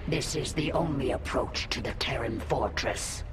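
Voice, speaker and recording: A woman's voice: very deep voice, moderate pace, loud music behind the voice. A woman voicing a proud alien brood mother in a video game, with a hissing, whispery alien voice. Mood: grim. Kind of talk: video game cutscene voice acting.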